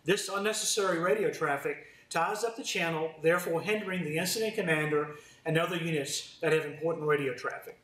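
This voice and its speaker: A man's voice: on the high side, fairly quick, few pauses, a bit bright. A man giving a formal, scripted training presentation as a fire department instructor. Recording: roomy, distant mic, faint noise.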